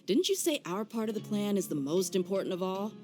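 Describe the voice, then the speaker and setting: A woman's voice: medium pitch, very animated, brisk, music in the background, close to an everyday voice. A woman playing a confident young female mercenary in a video game, teasing, bold and self-assured. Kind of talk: video game character acting.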